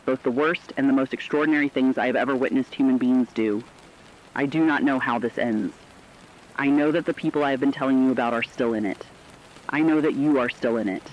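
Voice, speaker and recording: A man playing a steadfast weary news anchor, medium pitch, reflective delivery, apocalyptic radio drama, dull recording. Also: lightly acted. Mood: sombre, steadfast. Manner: sober, deliberate, reflective. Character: steadfast, weary radio anchor reporting through societal collapse. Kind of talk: scripted apocalyptic radio monologue.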